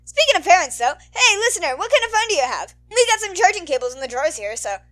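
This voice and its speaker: A woman voicing a loud, friendly male announcer hero in an amateur story reading, with an exuberant, chatty, slangy manner. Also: extremely high pitch, big pitch swings, quick pace, voice pushed up, full character voice. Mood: excited, friendly.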